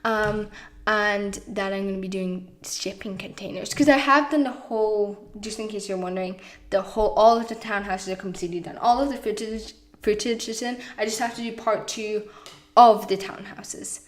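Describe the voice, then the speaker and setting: A woman's voice: mid-range voice, normal speed. A woman chatting casually in a rambling voiceover over gameplay footage, losing her voice, with frequent fillers and restarts. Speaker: YouTube game builder.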